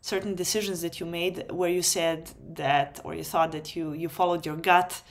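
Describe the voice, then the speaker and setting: A woman's voice: lowish voice, flat delivery. Woman lecturing with frequent hesitations. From Serbia. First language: Serbian. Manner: unhurried, many ums and restarts, light laughs. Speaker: webinar host. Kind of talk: spoken lecture.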